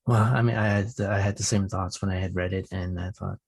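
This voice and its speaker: A man with a lowish voice, husky and full of creak. He hosts a poetry interview conversationally, effusive and halting as he goes. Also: fairly even intonation. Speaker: poetry show host.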